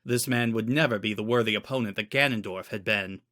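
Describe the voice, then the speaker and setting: A man's voice: on the low side, a little fast, pushed and bright. A man narrating a story in the style of a dramatic audiobook reading.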